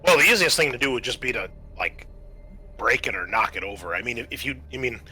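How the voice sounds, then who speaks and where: A man with a bright, forceful voice is running a tabletop roleplaying game. He narrates off the cuff and explains the options in a casual, conversational way. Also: mid-range voice, sing-song, fast, breathy edge. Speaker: game master.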